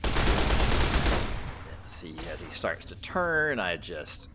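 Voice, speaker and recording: A man's voice: sits low, sing-song, takes it slowly. A man giving casual commentary as he plays a video game. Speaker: gamer, let's play host. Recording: faint game noise behind. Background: video game sounds.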